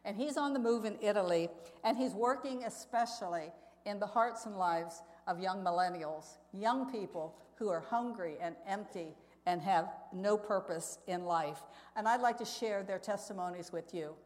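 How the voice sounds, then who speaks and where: A woman's voice: mid-range voice, moderate pace, a little mellow. Woman preaching in an echoey hall. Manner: earnest, conversational, addresses listeners with rhetorical questions. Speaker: missionary speaker. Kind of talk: church testimony talk, storytelling to congregation. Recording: echoey hall with long reverb.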